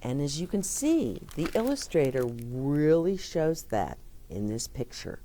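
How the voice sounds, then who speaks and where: A woman reading a picture book aloud to children, gently stopping to explain words and ask the listener questions. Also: low voice, very animated, a little slow, slight background noise. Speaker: children's storyteller.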